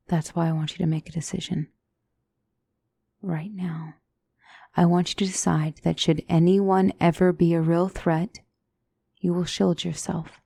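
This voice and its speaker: A woman playing a gentle demonic tutor in a scripted ASMR roleplay, speaking softly and deliberately as a calm battle mentor. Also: on the low side, creaky, husky, dry recording, exaggerated voice. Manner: measured, deliberate, soft-spoken, instructive.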